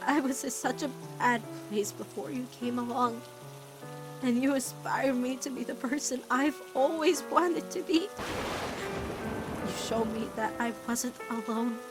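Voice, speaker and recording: A woman voicing a devoted, anxious teenage witch girl in an animated-style comic dub, earnest and halting in delivery. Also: a little high, music in the background, close to an everyday voice. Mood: admiring, tender.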